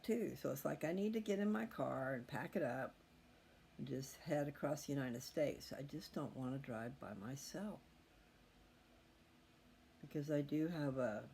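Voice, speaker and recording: A man's voice: a little high, darkish tone. A man chatting casually to his viewers in a folksy, meandering way, thinking aloud as he goes. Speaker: host of a scripture reading channel. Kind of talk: rambling casual chat to viewers. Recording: faint background noise.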